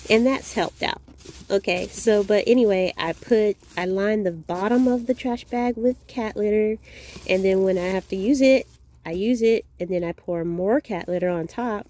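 A woman in her forties vlogging casually from inside her parked van, chatting in an unhurried way and thinking aloud with fillers. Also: medium pitch, warm tone. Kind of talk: casual vlog narration.

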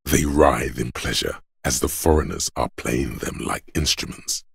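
A man voicing a grim, stoic inner voice of endurance for a game, in a solemn, portentous manner with a husky, gravelly quality. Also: extremely low pitch, a little slow, fairly bright tone, dry recording, clean studio sound, exaggerated voice. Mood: grim, taunting. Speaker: voice actor. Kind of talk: dramatic game narration, second person.

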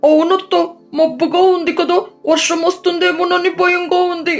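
A woman's voice: very high voice, level tone, normal speed, soft background music, voice pushed up. A woman voicing a timid, worried small child bird in a cartoon story, sounding small and childlike. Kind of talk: cartoon moral story character acting.

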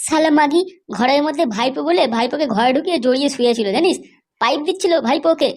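A man's voice: very high-pitched, fast talker. A man voicing a gossipy, foul-mouthed sidekick in a comedy cartoon. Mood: gossipy, scandalized. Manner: gossipy, crude.

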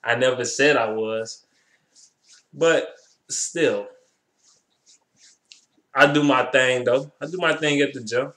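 A man's voice: medium pitch, takes it slowly, pauses often, fairly bright tone. Young American man, casual and echoey. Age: 20s. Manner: slangy, heavily profane, repeats himself. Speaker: vlogger. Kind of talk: casual chat to camera. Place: small bare indoor room. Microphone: webcam at arm's length. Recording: echoey room, webcam-style microphone.

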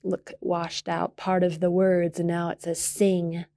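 Slow-paced woman reading a picture book. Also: on the low side, completely flat delivery, mellow. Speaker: library storytime reader. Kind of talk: expressive children's picture-book read-aloud.

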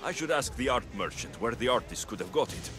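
A man's voice: medium pitch, big pitch swings, a little fast, natural voice. A man playing a brusque, combative ancient Greek mercenary in a video game, talking in a blunt, terse way. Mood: dry, pensive. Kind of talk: scripted game dialogue.

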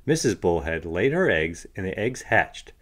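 Man playing a proud happy fish dad in a kids story, chipper, low voice with bouncy pitch. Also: big pitch swings, moderate pace, fairly bright tone, heavily acted. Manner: chipper, matter-of-fact about his duties. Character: contented, proud male fish father in a storybook.

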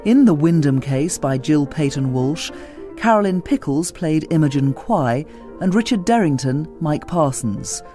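A man reading the closing cast credits of a radio drama in a formal, even manner. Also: a little high, unhurried, music in the background. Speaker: announcer.

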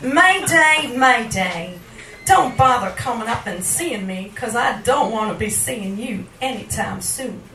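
A woman reading poetry live at a small venue, with a dramatic, deliberate delivery, recorded with a handheld microphone in a roomy space. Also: fairly high pitch, expressive, pushed and bright, distant mic, faint music behind. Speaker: poet reading at a poetry night. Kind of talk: live spoken-word poetry reading with casual asides. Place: small wood-panelled indoor bar venue. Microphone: handheld stage microphone, picked up from a camera.

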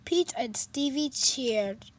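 A storyteller reading a children's picture book aloud in an expressive, animated way. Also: high-pitched, slow. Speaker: storybook reader.

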